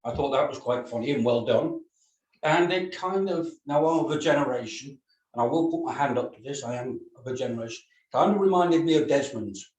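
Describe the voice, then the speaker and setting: A man's voice: mid-range voice, fairly quick, a little mellow. A middle-aged or older man giving casual feedback as a reader in a group discussion, heard with room echo and some distance from the mic.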